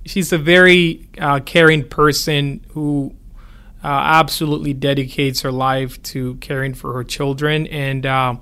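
A man you'd hear as the expert guest on a podcast, answering in an even-pitched conversational voice. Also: a little slow, smooth. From Ghana. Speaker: neurosurgeon, interview guest.

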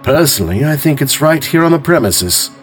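A man voicing hungry, chatty policemen who talk in a casual, blunt way, with a husky voice. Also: on the high side, very animated, brisk, faint music behind, pitched above the performer's own voice.

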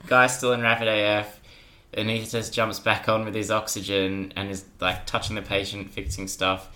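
A man's voice: lowish voice, level tone, normal speed, pushed and bright. A young man, telling anecdotes casually as a podcast guest. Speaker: podcast guest, junior doctor.

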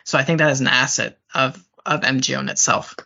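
Bright, forceful male podcast host. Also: mid-range voice, fairly even intonation, fast, gravelly. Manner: affirming, interjects short reactions. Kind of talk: conversational interview. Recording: dull, muffled lo-fi audio.

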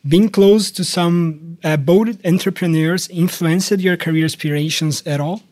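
Higher-voiced young man, podcast host. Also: steady tone, unhurried, darkish tone. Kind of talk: scripted podcast introduction and prepared questions.

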